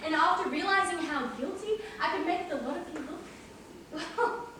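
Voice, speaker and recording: A woman's voice: extremely high pitch, normal speed. A woman playing a gloating, fame-hungry journalist killer in an amateur comic stage play, theatrical and emphatic. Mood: smug, mocking. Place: large hall, live stage performance. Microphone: far camera or room microphone. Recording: distant, echoey hall recording with loud noise.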